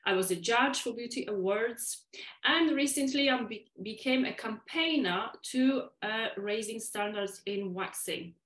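A woman from Poland with a Polish accent, speaking English as a second language, who talks deliberately slowly as a podcast guest. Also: on the high side, fairly bright tone, echoey room, rough recording. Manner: deliberately slowed, careful speech. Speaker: guest, waxing specialist. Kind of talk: podcast interview.

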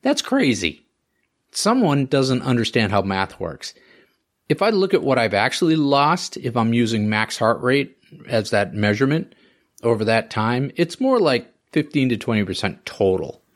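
A man in his fifties hosting a podcast alone, explaining in a wry, conversational way and often asking himself questions. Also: fairly low pitch. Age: 50s. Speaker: podcast host. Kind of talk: solo scripted podcast monologue.

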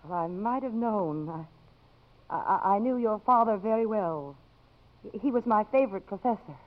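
Self-possessed congresswoman, played by an actress, very expressive. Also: medium pitch, normal speed, close to an everyday voice. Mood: gracious, warm. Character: self-possessed congresswoman, brisk, wry, privately sentimental. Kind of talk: 1950s American radio drama acting. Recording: muffled, noisy 1950s radio broadcast recording.

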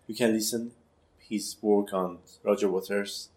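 Hesitant low-voiced man in his thirties. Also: steady tone, darkish tone, reverberant. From Iran. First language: Persian. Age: 30s. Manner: halting non-native English with many restarts. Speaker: musician guest. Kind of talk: casual interview chat.

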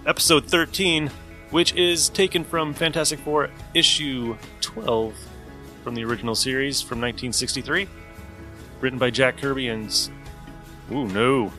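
A man's voice: mid-range voice, moderate pace, bright, forceful tone, faint music behind. A man hosting a podcast, giving a casual introduction. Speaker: podcast host.

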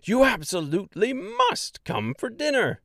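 A man voicing a plump, jovial male Munchkin mayor with a booming, hearty voice and an eager, gushing way of talking. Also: wildly swooping pitch, a little slow, creaky, fairly bright tone, dry recording, studio quality, exaggerated voice. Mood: eager chatter.

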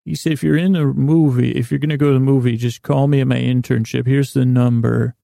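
An American man in middle age delivers a wandering bedtime podcast monologue. His voice is mellow and deeply creaky, recorded dry and close to the ear. He meanders, repeats words and lets thoughts trail away. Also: steady tone, fairly quick, no room sound. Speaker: podcast host.

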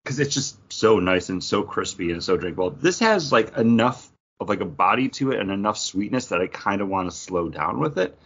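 A man from Michigan with a somewhat low, husky voice co-hosts a casual podcast. He speaks in an informal, bantering way. The sound is a bit dull and lo-fi. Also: animated, a little fast, hardly any pauses, fairly bright tone. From Michigan, United States. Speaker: podcast co-host.

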